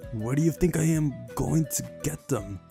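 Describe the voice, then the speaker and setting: A man's voice: a little high, animated, normal speed, gravelly, voice pushed up. Casting: reluctant young everyman for a visual novel, read by a man, questioning and tired, music behind.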